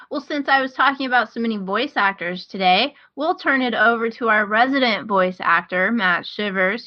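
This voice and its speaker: A woman chats casually as a podcast co-host. Her pitch is average for a woman and her humour is dry and self-deprecating. The sound is slightly muffled. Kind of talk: casual chat. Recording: slightly dull podcast audio.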